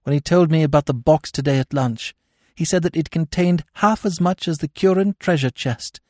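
A man voicing a cynical, world-weary young woman thief in an audiobook story, with a cool, slow delivery. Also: lowish voice, expressive, mellow, breathy edge, no echo, studio quality, full character voice. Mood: tearful, pleading.

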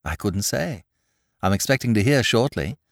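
A suave, unhurried gentleman, voiced by a male narrator, dry and ironic. His intonation moves a lot while staying composed. The recording is dry and close. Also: fairly low pitch, no room sound. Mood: calm. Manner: calm, dryly ironic. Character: suave young gentleman ex-crook, cool and wry.

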